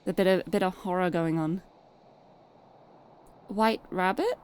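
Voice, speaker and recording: A woman's voice: fairly low pitch, flat delivery, fast, a little mellow, slight background noise. A woman from the UK with a British accent, live streaming a game, chatting and reading aloud. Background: music.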